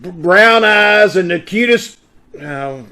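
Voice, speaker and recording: A man's voice: sits high, lively intonation, slow pace, pushed and bright, exaggerated voice. A man playing a blustering, sarcastic police inspector in 1940s radio detective drama style. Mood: brusque, bossy. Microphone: video call.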